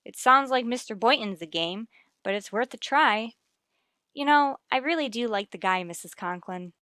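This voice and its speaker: A wisecracking schoolteacher heroine from an old radio comedy. Her voice is bright and forceful, and she drops dry jokes with lively swings of pitch. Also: normal speed, dry recording. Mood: wry, deadpan. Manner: deadpan wisecracks. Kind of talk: 1940s-50s radio sitcom acting.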